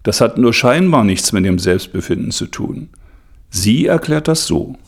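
A man narrating a documentary report in a measured, formal way. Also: mid-range voice, expressive, vocal fry. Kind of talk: scripted narration.

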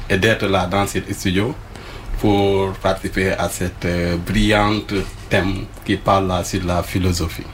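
Very deep-voiced studio technician. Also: male voice, level tone, measured pace, a little hiss or hum.

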